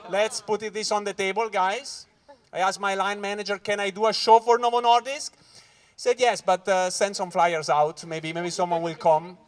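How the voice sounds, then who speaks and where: A Swiss man from Ticino, an English speaker, doing a loud, animated stand-up set at a corporate event and talking straight to the crowd. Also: sits high, normal speed. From Switzerland (Ticino). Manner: loud, animated crowd work, keeps saying "guys". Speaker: stand-up comedian. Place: corporate event hall with live audience.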